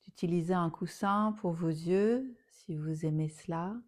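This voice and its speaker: Slow, mellow woman guiding relaxation. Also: on the low side. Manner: soothing, unhurried, phrases softly trailing into breathy near-whispers. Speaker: yoga nidra teacher. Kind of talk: guided relaxation meditation.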